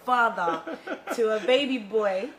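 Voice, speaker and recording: A woman's voice: medium pitch, unhurried, a crowd in the background. A woman from Congo presenting a conversational interview in a polite, encouraging way. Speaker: talk show presenter. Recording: clear background noise, room ambience.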